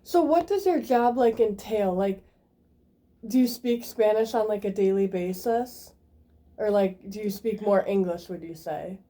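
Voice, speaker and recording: A woman's voice: medium pitch, unhurried, mellow. A young woman interviewing, asking questions hesitantly with many fillers. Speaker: student interviewer.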